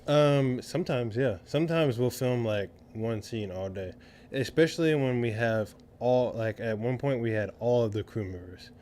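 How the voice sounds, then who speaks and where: A man's voice: medium pitch, normal speed, darkish tone. A man co-hosting a podcast in casual, informal chat, often repeating himself for emphasis. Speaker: podcast co-host, film crew member. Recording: clearly audible background noise.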